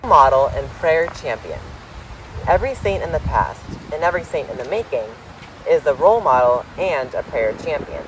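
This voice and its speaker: A young man with a fairly high voice reads a children's picture book aloud in a lively, varied tone. He is recorded outdoors from a little distance, with faint background noise. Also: normal speed. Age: young adult. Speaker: reader. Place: outdoors in autumn, seated by shrubs. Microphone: camera several meters away.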